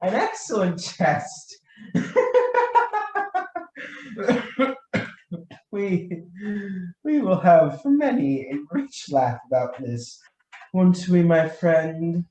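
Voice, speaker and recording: A woman acting a drunken, trusting nobleman in a radio play, stammering and halting, with coughs and weak laughs breaking up the words. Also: on the low side, sing-song, dark tone, full character voice. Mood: nervous false mirth. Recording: roomy, echoing sound.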